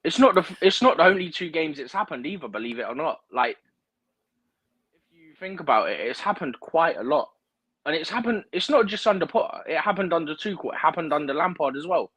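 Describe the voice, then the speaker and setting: A man's voice: a little high, sing-song, a little fast, a bit bright, husky, no echo. A man on a fan livestream giving opinionated, rambling football analysis, often checking in with "right?". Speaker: guest pundit on a fan livestream. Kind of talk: casual football chat. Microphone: remote livestream mic, drifting in and out.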